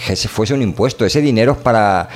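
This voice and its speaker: A man's voice: on the low side, fast talker. A man with a Spanish accent from Spain co-hosts a podcast, talking in a casual, opinionated, rambling and colloquial way. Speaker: podcast co-host.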